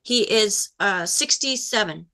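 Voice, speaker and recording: A woman's voice: medium pitch, expressive, measured pace, bright, forceful tone. A woman co-hosting a call-in health show, reading listener questions and speaking upbeat to the audience.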